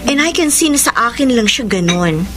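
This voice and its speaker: High, animated, lovestruck young Filipino woman. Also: moderate pace, background noise. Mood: lovestruck confessing. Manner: gushing, teasing, giggly. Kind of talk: radio drama acting in Taglish.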